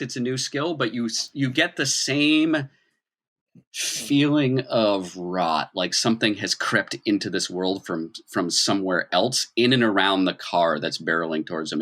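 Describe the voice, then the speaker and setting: A man's voice: fairly low pitch, a bit bright. A man improvising narration as a tabletop game master, explaining things in a conversational way.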